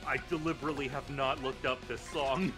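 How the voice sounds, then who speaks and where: A woman's voice: rumbling low, steady tone, a little fast, a bit bright, soft background music. A woman chatting casually as co-commentator on a game stream. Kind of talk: casual chat.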